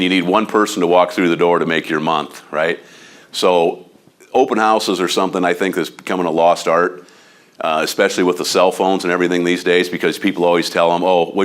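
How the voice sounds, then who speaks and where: A deep-voiced man with a Midwestern American accent talks loudly and bluntly. His manner is animated and a bit overbearing, like a seasoned agent coaching colleagues in an office meeting. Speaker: real estate agent giving a training talk. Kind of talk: informal training talk to a room of agents.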